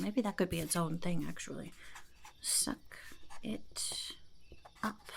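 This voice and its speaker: Creaky, half-whispering goblin schemer, played by a woman. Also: medium pitch, darkish tone, a little hiss or hum. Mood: blunt, encouraging. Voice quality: creaky, often breaking into whisper. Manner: third-person self-reference, mock-grand, haggling. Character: scheming, blunt, self-important female goblin entrepreneur. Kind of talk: ASMR roleplay, softly spoken to the listener.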